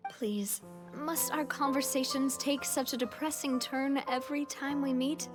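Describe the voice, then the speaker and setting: A woman's voice: sits high, steady tone, a little slow, music in the background. A confident young woman leader. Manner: self-assured, reassuring. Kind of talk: game voice acting.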